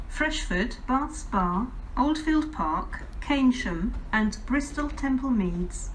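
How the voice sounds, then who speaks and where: A woman with an English accent reading formal, measured automated railway announcements in pieced-together phrases over a station public address system. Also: a little high. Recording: distant station PA speakers, clear background noise.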